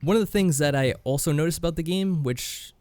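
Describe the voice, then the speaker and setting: Male podcast co-host, quick casual delivery, medium pitch, dry close sound. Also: a little mellow, smooth, no echo. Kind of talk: casual discussion and review.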